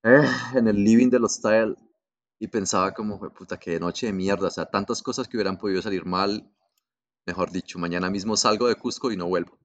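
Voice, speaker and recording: A young Colombian man with a Colombian accent hosting a podcast, telling a travel story in a casual, profane, animated way and laughing along. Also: fairly low pitch, a little fast. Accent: Colombian Spanish. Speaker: podcast host.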